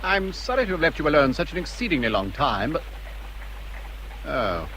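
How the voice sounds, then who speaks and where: A formal, self-assured gentleman in a period radio drama. His voice is bright and very animated in pitch, coolly courteous, over faint street ambience. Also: moderate pace, slight background noise, exaggerated voice, rough recording. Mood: polite, cool. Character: smooth, assured gentleman cousin, coolly polite.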